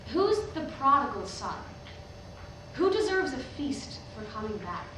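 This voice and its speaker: In a very reverberant, noisy hall, an earnest young preacher's daughter acted by a woman addresses a congregation. Her voice is on the high side and far from the mic. She is halting and nervous, yet defiant, as part of a staged play. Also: very animated, fairly bright tone, full character voice. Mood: earnest, resolute. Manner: halting, hesitant public address with rhetorical questions. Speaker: stage actor in a staged reading. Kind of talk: sermon in a staged play reading. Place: indoor hall, recorded from the audience. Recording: very echoey hall, distant microphone, noisy.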